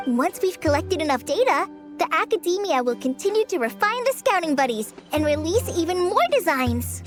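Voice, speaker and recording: A friendly teenage trainee ranger in a video game. The high, girlish voice is earnest and polite, explaining things carefully, with music underneath. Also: big pitch swings, moderate pace.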